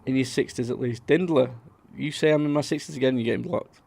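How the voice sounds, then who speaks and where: A man's voice: mid-range voice, fast talker, creaky, darkish tone. A man, chatting casually to viewers on a live stream and reading out their comments. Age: 30s. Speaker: livestream host.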